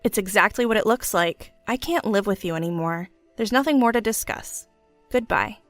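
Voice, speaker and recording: A woman's voice: mid-range voice, normal speed, no echo, faint music behind, close to an everyday voice. A woman playing a firm, composed wronged wife who speaks curtly in an audio story. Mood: cold, composed. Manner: composed, curt.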